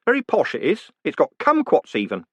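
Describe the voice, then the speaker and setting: A man's voice: on the high side, huge pitch swings, brisk, slightly rough, no echo, pitched above the performer's own voice, exaggerated voice. A man voicing a cheerful, deferential prison warden who rambles on and calls everyone sir. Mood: chatty.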